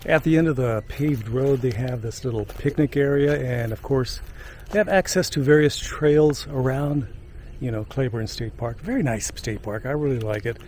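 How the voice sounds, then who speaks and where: A man's voice: medium pitch, moderate pace, darkish tone, slight background noise. An older man hosting a travel vlog outdoors, chatting to a handheld camera in a jokey, rambling way. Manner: jokey, rambling, with filler words. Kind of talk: casual chat to camera. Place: outdoors on a wooded lakeside trail and park. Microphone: handheld camera at arm's length.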